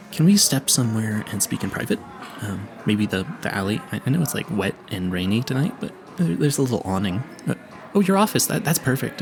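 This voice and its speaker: Man playing a flustered, animated young vampire hunter. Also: medium pitch, vocal fry. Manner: nervous, flustered, stammering, over-apologetic, polite. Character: awkward, smitten, clueless young male vampire hunter. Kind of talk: romantic audio roleplay addressed to the listener.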